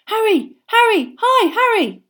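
A woman voicing an excitable, adoring young schoolboy in a storybook, gushing insistently. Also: extremely high pitch, unhurried, pitched above the performer's own voice, full character voice. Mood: eager.

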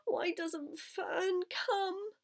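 A woman's voice: fairly high pitch, lively intonation, moderate pace, lightly acted. A woman voicing a small, frightened baby pig in a storybook, plaintive and bewildered. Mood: miserable, pleading. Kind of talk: storybook character voice.

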